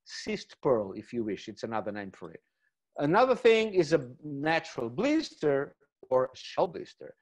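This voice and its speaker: A man's voice: medium pitch, darkish tone. A middle-aged man giving an informal webinar lecture on gems, enthusiastic and repeating words with many fillers. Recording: slightly dull webinar audio.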